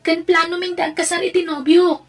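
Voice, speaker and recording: A woman with an Ilocano accent acting a dutiful young adult daughter who works abroad, in an audio drama, with a slightly husky voice. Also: very high voice, little pitch movement, moderate pace, soft background music. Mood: light, affectionate.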